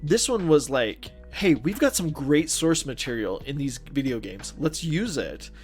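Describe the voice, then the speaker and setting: A man's voice: on the high side, big pitch swings, unhurried. A man co-hosting a podcast, talking casually in an informal, slangy and agreeable way. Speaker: podcast co-host. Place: recorded remotely, separate from co-host. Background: background music.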